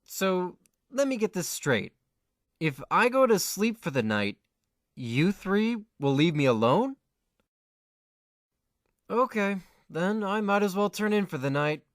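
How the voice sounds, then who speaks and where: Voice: mid-range voice, sing-song, slow, long pauses, dry recording, clean studio sound. An actor playing a frustrated, easily flustered young male student in an amateur audio drama. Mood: weary.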